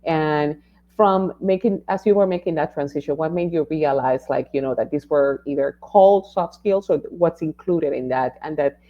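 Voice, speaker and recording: Woman, podcast host, conversational, lower voice, muffled lo-fi sound. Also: normal speed. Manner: conversational, many fillers. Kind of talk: live podcast interview.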